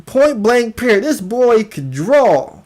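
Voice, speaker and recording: Slow, deliberate livestream commentator, emphatic and repetitive. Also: mid-range voice, very animated, a little mellow. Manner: emphatic, repeats phrases for emphasis. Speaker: YouTube commentary host.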